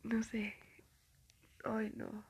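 Whispering young woman, breathy and gravelly. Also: a little high, completely flat delivery, very slow, darkish tone, poor audio. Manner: chatty gossiping storytelling, full of fillers. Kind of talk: whispered ASMR storytime chat.

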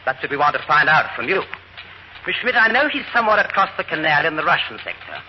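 An actor playing a stern military major pressing a suspect for answers in an old radio drama. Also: male voice, fairly high pitch, very animated, quick pace. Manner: firm and pressing. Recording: muffled old radio broadcast with faint noise.

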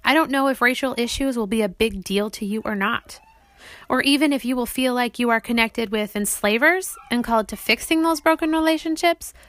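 A woman's voice: on the high side, dry recording. A woman reading an audiobook as its author, explaining things simply, as if to young children.

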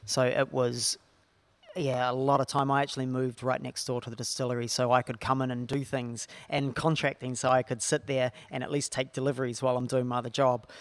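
New Zealand man with a gravelly voice. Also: mid-range voice, flat delivery, a little fast, no room sound. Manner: chatty, explains at length with "so" and "I guess". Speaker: distillery co-founder. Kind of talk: relaxed interview talk.

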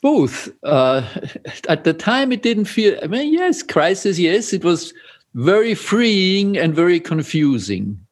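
An older man from Austria with an Austrian German accent, a Zen priest speaking as an interview guest, pausing often with fillers and soft chuckles. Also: high-pitched, big pitch swings. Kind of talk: reflective interview talk.

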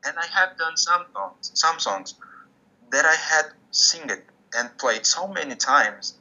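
Casting: bright, sharp-toned male musician, Portuguese first language, careful halting English, dull lo-fi video call sound. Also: fairly high pitch, lively intonation. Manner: hesitant, restarts often, searching for English words. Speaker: musician guest. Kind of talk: interview conversation.

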